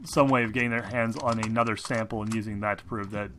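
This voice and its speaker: A man running a tabletop game, talking casually and haltingly with some swearing as he improvises the narration. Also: on the low side, steady tone, fairly bright tone, slight background noise. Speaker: game master. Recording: music behind the voice. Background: background music.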